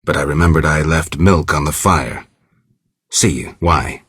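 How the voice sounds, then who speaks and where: An extremely deep, very raspy voice for a gruff monster slayer in a video game. He speaks in clipped, deadpan phrases with dry sarcasm. The sound is close and dry, with no room to it. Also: a little slow, dry recording, full character voice. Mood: laconic, deadpan. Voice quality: very husky, gravelly rasp. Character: gruff, laconic male monster hunter for hire, dryly sardonic. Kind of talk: video game dialogue acting.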